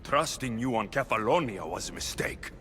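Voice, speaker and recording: A determined young Spartan mercenary played by a man, blunt and intense in a game drama. His mid-pitched voice moves up and down a lot as he questions people, with faint music behind. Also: animated, moderate pace, fairly bright tone, natural voice. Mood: angry. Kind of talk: dramatic video game acting.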